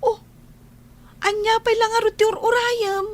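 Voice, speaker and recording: A woman's voice: very high voice, little pitch movement, unhurried. An actress playing a cheerful, teasing young woman in a radio drama, playful and encouraging to her friend.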